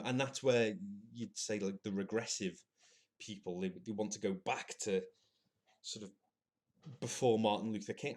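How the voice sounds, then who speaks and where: A man, possibly, hosting a solo podcast and thinking out loud in a halting, earnest way, with frequent restarts and filler words. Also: mid-range voice. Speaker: podcast host. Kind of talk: solo opinion monologue.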